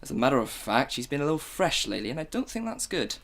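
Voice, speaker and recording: A woman's voice: rumbling low, sing-song, fast, gravelly, voice pushed down, exaggerated voice. A woman voicing a concerned middle-aged husband who keeps checking that his wife is all right, in a student radio drama. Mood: stern. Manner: fussing, repeatedly asks if all is well.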